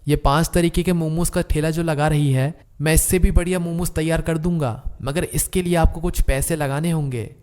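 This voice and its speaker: Cartoon story role: greedy young hustler son, played by a man, fairly high voice, smooth-talking and boastful. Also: fairly even intonation, moderate pace, hardly any pauses, darkish tone, natural voice. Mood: eager, boastful. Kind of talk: Hindi animated moral story dialogue.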